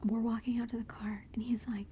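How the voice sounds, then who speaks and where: Mom whispering asmr style over family pics, gushy and chatty, kinda high, warm mellow sound. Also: female voice, flat delivery, fairly quick, slight background noise. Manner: soft whisper throughout; gushing, affectionate, chatty. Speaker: mother showing family photos. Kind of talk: whispered ASMR photo show-and-tell.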